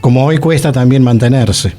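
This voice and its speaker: A man's voice: medium pitch, normal speed, warm tone. A man with a Rioplatense Argentine accent, co-hosting a casual radio conversation and emphatically repeating phrases. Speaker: radio show co-host.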